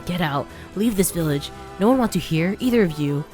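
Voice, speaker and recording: A woman's voice: medium pitch, brisk, darkish tone, soft background music, voice pushed up, natural voice. A woman voicing a cruel village bully boy who taunts and sneers. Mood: hostile.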